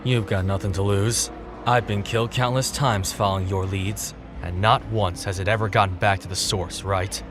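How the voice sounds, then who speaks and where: An actor playing a gruff, guarded fighter in an audio drama, a man who talks in curt, deadpan lines. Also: on the low side, normal speed, music in the background, natural voice. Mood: calm, negotiating.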